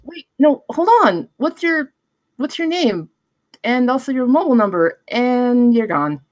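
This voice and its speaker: A woman reading the part of an anxious, awkward young woman in a screenplay table read, halting and stammering. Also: on the high side, animated, no echo, clean studio sound. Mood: flustered.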